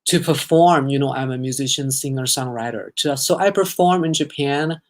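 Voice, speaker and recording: A young Thai man with a Thai accent hosts a relaxed live stream. He talks easily and at length, answering viewer comments as they come in and scattering foreign greetings through his chat. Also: medium pitch, normal speed. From Thailand. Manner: chatty, reads out viewer comments, sprinkles in foreign phrases. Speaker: livestream host.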